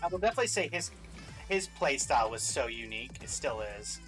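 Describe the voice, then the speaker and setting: A man's voice: mid-range voice, very animated, quick pace, a bit bright, soft background music, rough recording. A man chatting casually as he hosts and comments in a reaction show.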